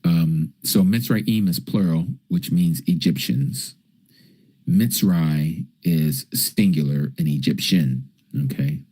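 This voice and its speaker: A man's voice: extremely low pitch, slow pace, mellow, breathy edge, dry recording, poor audio. A man teaching scripture on a livestream, answering viewers' questions in a casual way and often checking in with "okay?". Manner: explains with frequent "okay?" checks.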